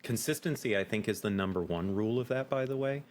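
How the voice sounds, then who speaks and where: A man's voice: on the low side, quick pace, slight background noise. A man being interviewed as a game master, explaining his points in an emphatic way and often checking with "right?". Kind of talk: interview conversation.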